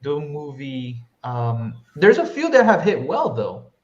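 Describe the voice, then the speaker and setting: A man's voice: mid-range voice, huge pitch swings, takes it slowly. Guy doing a slangy podcast review chat, kinda dull echoey room sound. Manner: informal, peppered with slang. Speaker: podcast co-host. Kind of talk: casual film review chat.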